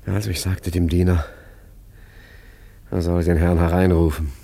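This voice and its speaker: An urbane, slippery art forger in a radio drama. His voice is fairly low, with a wry, politely ironic delivery and hesitant stammers. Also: flat delivery, normal speed, vocal fry, mellow. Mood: wry, conversational. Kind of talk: German radio play narration and dialogue.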